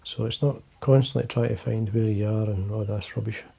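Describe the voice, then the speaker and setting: An older Scottish man with a low, quiet voice, gravelly and mellow in tone. He chats casually to the camera from his desk, wandering through his points with plenty of fillers. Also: steady tone, fairly quick. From Scotland. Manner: quiet, rambling, many fillers and restarts. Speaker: fly-fishing video host. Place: indoors, fly-tying desk.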